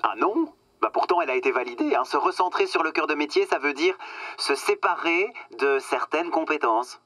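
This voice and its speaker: A slick male corporate boss, with a bright, forceful edge and a dry studio sound. He coaches in a condescending, mock-earnest way, leaning on pauses to sell his cynical satire. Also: on the high side, expressive, no echo. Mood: smug, persuasive. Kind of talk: satirical French radio drama acting.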